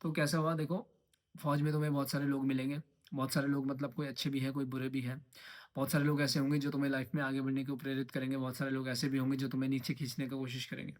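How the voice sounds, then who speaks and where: Fast-talking young man. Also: mid-range voice, level tone. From Uttarakhand, India. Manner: rambling, mixes English phrases, repeats himself. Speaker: trading vlogger. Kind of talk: casual vlog monologue.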